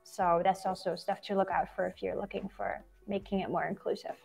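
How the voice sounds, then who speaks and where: A woman's voice: medium pitch, steady tone, a little fast, creaky, darkish tone, slightly rough, faint music behind. Co-host: young woman, earnest and reflective, casual video call. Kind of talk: casual chat. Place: home room.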